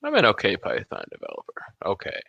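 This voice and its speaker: Very deep, gravelly, offhand man. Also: wildly swooping pitch, quick pace, pushed and bright, dry recording. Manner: self-deprecating, offhand, swears casually. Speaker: guest, software developer. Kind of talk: casual chat, informal explaining.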